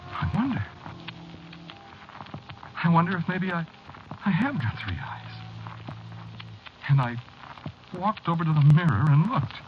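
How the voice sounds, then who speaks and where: An actor playing an anxious, haunted office man, confiding haltingly in an old-time radio horror drama. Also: fairly high pitch, a little hiss or hum. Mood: agitated, unnerved. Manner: halting, brooding, confiding in the listener. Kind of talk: old-time radio horror acting, first-person narration. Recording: dull old radio drama recording, music behind.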